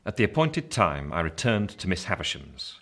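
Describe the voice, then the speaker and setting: A man's voice: deep voice, very animated, moderate pace, fairly bright tone. A man acting a reflective narrator who recalls his boyhood in a scripted radio drama. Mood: measured storytelling.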